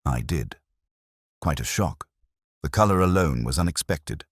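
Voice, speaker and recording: A fussy, guarded clerk from a storybook mystery, as a man reads him, soft-spoken and prim, clipping his answers short. His voice is very deep with a husky edge and a lively lilt. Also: measured pace, dry recording, studio quality, exaggerated voice. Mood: guarded polite.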